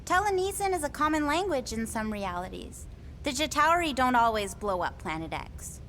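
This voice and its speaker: A woman's voice: high-pitched, very animated, normal speed, a bit bright, slight background noise, exaggerated voice. An actress playing a quirky, otherworldly bug-like alien woman in a video game, whimsical and earnest. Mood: cheerful, odd.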